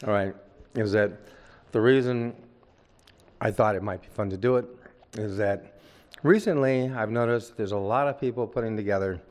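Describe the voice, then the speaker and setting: A man's voice: on the low side, moderate pace, long pauses, a little mellow. Older man rambling in an interview. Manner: rambling, chatty, many asides and fillers. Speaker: actor. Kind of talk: casual interview on set.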